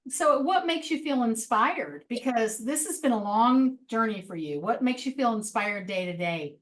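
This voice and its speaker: A woman's voice: on the high side, lively intonation. Encouraging woman host on a video call. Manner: encouraging, quick affirmations, laughs easily. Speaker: interviewer, show host.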